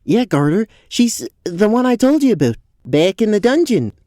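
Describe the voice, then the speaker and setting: A woman's voice: lowish voice, sing-song, normal speed, warm tone, no echo, clean studio sound. An actress playing an eager, naive male goat in a fantasy audio drama, with a wide-eyed, folksy manner. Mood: earnest.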